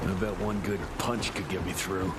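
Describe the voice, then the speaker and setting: An actor playing a gruff, cocky, foul-mouthed cowboy monster hunter in a video game, tossing out sardonic, swaggering one-liners. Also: male voice, sits low, steady tone, moderate pace, lightly acted. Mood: menacing, determined. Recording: loud music over the voice. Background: loud game music.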